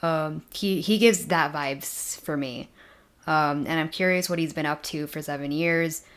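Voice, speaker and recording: A woman hosts a casual chat with friends on her own channel. Her voice sits a little low, and she rambles easily, with frequent ums and light laughter. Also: a little slow. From Mexico. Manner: chatty, many ums and laughs. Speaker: channel host. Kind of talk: casual show discussion with friends.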